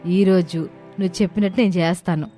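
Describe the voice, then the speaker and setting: Female actor as a trusting village woman for a cartoon folk tale, respectful and earnest, lowish pitch, music underneath. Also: fairly even intonation, quick pace, mellow, lightly acted. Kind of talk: animated moral story dialogue.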